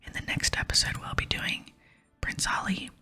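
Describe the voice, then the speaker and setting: A woman hosting an ASMR gaming video, whispering chatty, gentle commentary to her viewers. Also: moderate pace. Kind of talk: whispered ASMR game commentary and quest reading. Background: soft music.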